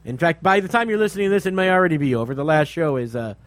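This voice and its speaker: A man's voice: fairly high pitch, expressive, racing, fairly bright tone, no room sound. A man hosting a radio show, chatting casually with opinions in a blunt, repetitive way. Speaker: radio show host and comedy night host.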